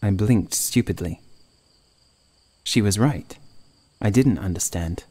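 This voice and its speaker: A man reading a scripted first-person horror story as an audiobook narrator, in a measured, literary way. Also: on the low side, a little mellow, husky.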